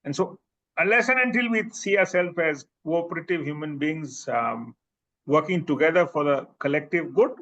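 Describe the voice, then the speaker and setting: A man with an Indian English accent, a professor answering audience questions in a thoughtful way, pausing with fillers and restarting sentences. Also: a little high, fairly bright tone. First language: Bhojpuri. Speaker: presenting professor. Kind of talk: academic Q&A answer. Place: seminar room. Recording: slightly muffled recording.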